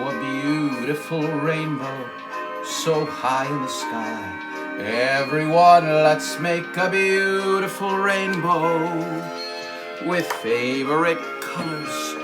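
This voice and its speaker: A man's voice: on the high side, poor audio. A man hosting a children's puppet show and sing-along, exclamatory and encouraging, repeating his phrases. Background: music.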